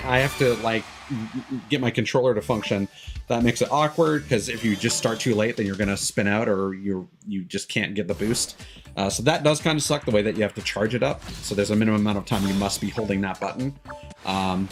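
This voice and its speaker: Loud game music plays behind a man with a slightly ordinary, middle-pitched voice. He is live streaming a video game, giving casual commentary. His talk is rambling and opinionated, like someone thinking aloud to viewers.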